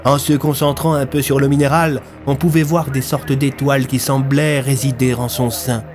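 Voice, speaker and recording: A man's voice: mid-range voice, little pitch movement, moderate pace, a little mellow. Young man narrating with music behind. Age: young adult. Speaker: narrator of an audio fiction series. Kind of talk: scripted dramatic storytelling.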